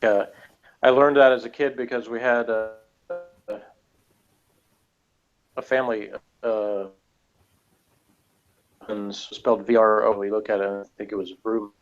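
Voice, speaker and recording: A man's voice: on the low side, level tone, a little slow, long pauses, soft background music. A man co-hosting a review, chatting casually as he reads out notes and adds rambling, skeptical asides. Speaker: co-host of a TV episode review. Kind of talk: casual chat while reading out and reacting to review notes. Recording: dull, lo-fi sound.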